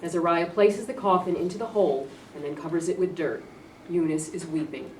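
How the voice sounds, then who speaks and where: A woman's voice: lowish voice, a little fast, darkish tone. A woman reading out stage directions as scripted narration at a staged play reading. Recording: roomy, distant, faint background noise.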